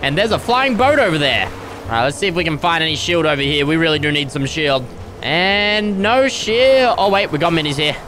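Young male gamer, high bright voice, over-the-top commentary, going hoarse. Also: lively intonation, normal speed, slight background noise. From Australia. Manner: loud, dramatic, squealing yelps and groans. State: starting to lose his voice. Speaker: gaming YouTuber. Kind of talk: excited gameplay commentary.

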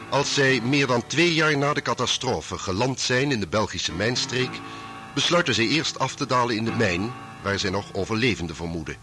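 A man's voice: mid-range voice, lively intonation, normal speed. A man narrating a radio serial in a scripted recap style. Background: music under the voice.

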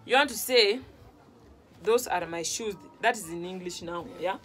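A woman's voice: on the low side, normal speed, fairly bright tone, faint music behind. A young Kenyan woman, a native Luo speaker, explaining phrases casually to camera in a conversational, repetitive way, often checking that she is understood. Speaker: vlog host teaching phrases. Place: small room at home, on a couch.

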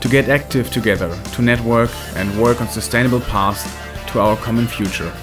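A man narrating a documentary film in a measured, scripted way. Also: medium pitch. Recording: voice-over with loud music behind.

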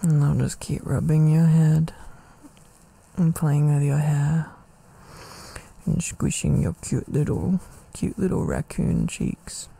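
A man doing an intimate boyfriend ASMR roleplay, affectionate and soothing, with a soft breathy voice that often drops to a whisper, close on the mic. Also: on the high side, lively intonation, slow, rough recording. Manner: affectionate, soothing, murmuring with hums. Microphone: close microphone.

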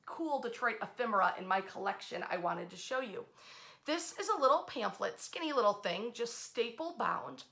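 A woman in her thirties with a fairly high, very bright and forward voice. She tells history informally to camera as a host, with expressive emphasis, speaking in a small bedroom. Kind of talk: informal storytelling to camera, part read aloud.

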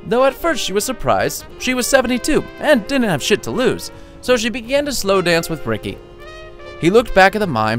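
A man's voice: on the high side, a bit bright. A man reading his own short story as scripted audiobook narration, with a wry, playful storytelling manner.

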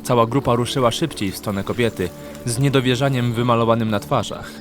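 A man's voice: mid-range voice, steady tone, brisk. A man narrating a scripted fantasy story as an audiobook narrator. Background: background music.

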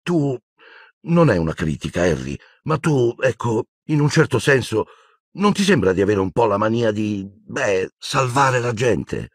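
Audiobook role: earnest, anxious teenage girl played by a man, lifted pitch, swooping intonation, hesitant and pleading. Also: very animated, moderate pace, slightly rough, no echo, studio quality. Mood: nervous.

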